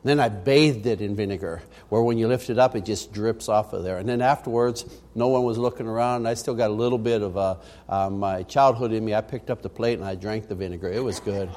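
A man's voice: fairly low pitch, fairly quick, few pauses, a little mellow. A male missionary preacher with a mixed American accent. He tells homely stories in a chatty way, full of small fillers. He builds to emphatic preaching when he makes his point. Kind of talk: folksy sermon.

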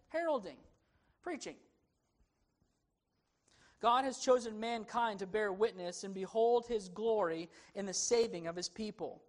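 Guy preaching a sermon at church, earnest, leans hard on key words then drops back down. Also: high-pitched. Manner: earnest, emphatic preaching with rising and falling emphasis. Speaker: pastor. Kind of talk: expository sermon.

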